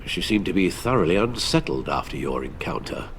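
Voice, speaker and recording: A man's voice: low-pitched, big pitch swings, a little fast, a little hiss or hum. An actor playing a loyal, anxious older British butler with a formal, fatherly manner in a video game. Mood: formal, concerned.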